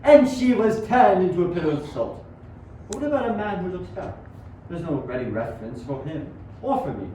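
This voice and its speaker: A man's voice: sits high, big pitch swings, fairly quick, dark tone. A young man acting an earnest, obsessive 19th-century scientist in an amateur radio play, with dramatic, formal delivery. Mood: brooding. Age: young adult. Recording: very echoey, distant, dull, faint noise.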